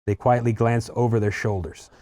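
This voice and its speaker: A man's voice: medium pitch, steady tone, normal speed, mellow. A man reading stage directions aloud as narrator at a screenplay table read.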